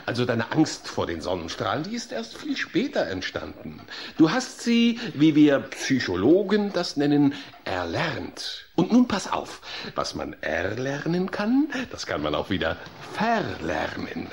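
A man's voice: medium pitch, a little slow, gravelly, a little hiss or hum, exaggerated voice. A man playing an earnest, fussy psychologist who lectures and coaxes patiently in a German children's audio drama. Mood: earnest lecturing. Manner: didactic, expressive, coaxing.

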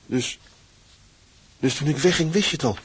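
An actor playing a plain-spoken young sailor in a radio drama, husky, colloquial and hearty. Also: male voice, a little high, moderate pace. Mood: moved. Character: hearty, plain-spoken young Dutch seaman and loving husband. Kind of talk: Dutch radio drama acting.

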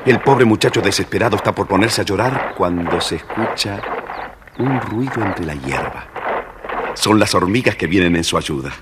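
A man with a very low voice narrates a children's story. The old record carries long reverb and clear background noise. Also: lively intonation, normal speed.

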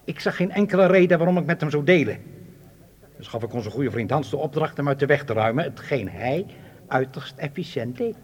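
An actor playing a cold, ruthless spy boss in a radio drama, with a commanding, menacing, deliberate delivery. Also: male voice, on the high side, expressive, breathy edge. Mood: cold, controlled. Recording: hall-like long reverb.

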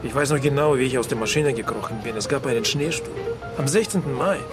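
An actor playing a plain-spoken, wry immigrant friend in New York in a radio crime drama. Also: male voice, medium pitch, quick pace, loud music behind the voice, close to an everyday voice. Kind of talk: German radio drama dialogue.

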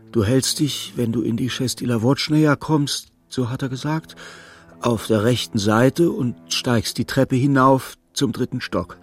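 An actor narrating a literary radio play as a sombre storyteller, measured and dryly ironic. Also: male voice, medium pitch, slightly rough. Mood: dry, wry. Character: sombre, wry male storyteller of a feverish literary tale.

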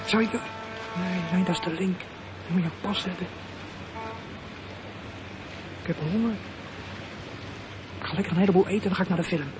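A man's voice: sits high, darkish tone, slight background noise, natural voice. A young man playing a troubled, resentful teenage runaway in a radio drama, muttering his thoughts and flaring up in indignation. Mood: excited. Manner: muttering to himself, bursts of indignation. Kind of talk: radio drama acting with inner monologue.

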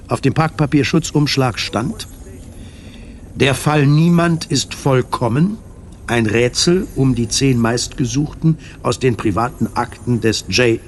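A man reading scripted narration for a radio drama, measured and articulate. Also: mid-range voice, slight background noise.

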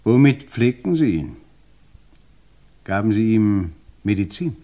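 A calm investigator in a radio crime play, pressing a widow with measured, insistent questions. His voice sits fairly low and moves lively up and down as he probes. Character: calm, probing male investigator interrogating a widow. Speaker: interrogator.

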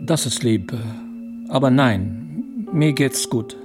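A man playing a gentle, guarded Syrian refugee in a radio drama, halting and hesitant. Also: mid-range voice, big pitch swings, over music. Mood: reassuring. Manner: halting, slow. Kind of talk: naturalistic radio drama acting.

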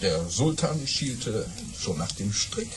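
An actor playing a boastful baron who spins tall tales with dramatic pauses and exclamations in an audio play. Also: male voice, lowish voice, big pitch swings, very noisy. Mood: suspenseful storytelling. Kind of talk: German audio-play storytelling.

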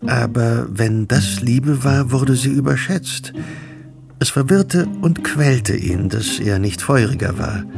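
A man narrating a literary radio drama in a wry, measured manner. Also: medium pitch, creaky. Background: music.

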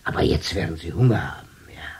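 Sly old junk-shop keeper, very husky and creaky, halting, wistful, radio drama. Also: male voice, a bass voice, animated, a little mellow, whispered, heavily acted. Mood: wistful, murmuring. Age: older. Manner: halting, murmured phrases with repeated 'ja'.

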